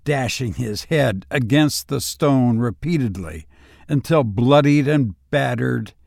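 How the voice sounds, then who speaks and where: A man hosting and narrating horror stories in a dramatic, scripted style, with deliberate, suspenseful pauses. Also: mid-range voice, lively intonation, vocal fry, a little mellow, dry recording, clean studio sound. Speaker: narrator and host of audio story show.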